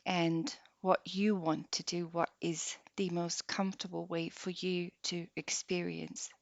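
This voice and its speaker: A woman guiding a meditation practice softly and unhurriedly, leaving long pauses between short phrases. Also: fairly low pitch, level tone, creaky, no room sound. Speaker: yoga nidra meditation guide. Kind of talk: slow guided meditation. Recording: dull lo-fi close dry recording.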